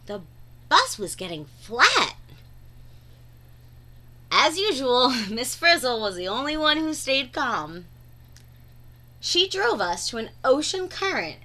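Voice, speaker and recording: A woman's voice: sits high, pushed and bright. A woman reading a children's storybook aloud expressively, drawing out words for dramatic emphasis. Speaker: read-aloud narrator.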